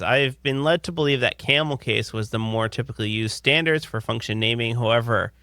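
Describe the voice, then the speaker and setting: Bright, gravelly-edged podcast host. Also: male voice, mid-range voice, no room sound, clean studio sound. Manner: upbeat, reads listener questions then riffs loosely. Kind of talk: casual chat. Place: home studio with headphones, indoors. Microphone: close studio mic on boom arm.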